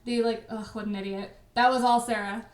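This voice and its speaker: Giggly, excitable woman in an echoey room. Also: on the high side, brisk. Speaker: audio drama creator hosting a live stream. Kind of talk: casual chat. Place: live group stream from a room. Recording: roomy, echoing voice.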